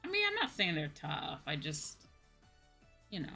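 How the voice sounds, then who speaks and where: A woman's voice: deep voice, huge pitch swings, moderate pace, vocal fry, pushed and bright, faint music behind. A woman streamer chatting casually to her livestream, with an exasperated, venting manner and some casual swearing. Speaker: VTuber streamer, host.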